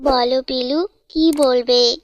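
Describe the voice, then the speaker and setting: A woman's voice: high-pitched, steady tone, slow pace, slight background noise, voice pushed down. A woman voicing a lovelorn young male bird in a cartoon, brooding and subdued. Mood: downcast.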